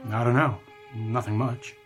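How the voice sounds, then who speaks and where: A man's voice: medium pitch, fairly even intonation, measured pace, lightly acted. An actor as a laconic, reserved father. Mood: pensive, mild. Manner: terse, understated. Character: reserved middle-aged father, laconic and guarded. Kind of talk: radio drama acting. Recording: radio drama with music behind.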